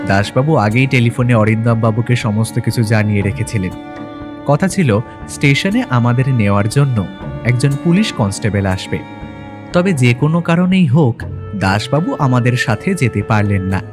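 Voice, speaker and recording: A man's voice: medium pitch, lively intonation, normal speed. A man narrating a scripted audio story in the first person. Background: clear music.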